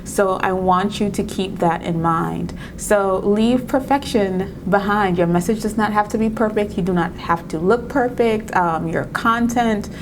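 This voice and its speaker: A woman's voice: mid-range voice, measured pace, hardly any pauses, background noise. A woman from Trinidad and Tobago with a Trinidadian accent that has some New York in it, talking to the camera in an encouraging way, often laughing and restarting her words. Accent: Trinidadian mixed with New York. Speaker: video creator giving tips. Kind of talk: casual talk to camera.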